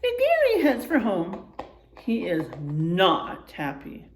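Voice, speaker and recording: A woman reading a picture book aloud to children in a teacherly, expressive way, checking in with her listeners as she goes. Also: medium pitch, takes it slowly, reverberant, a little hiss or hum. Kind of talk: animated children's read-aloud with asides to listeners.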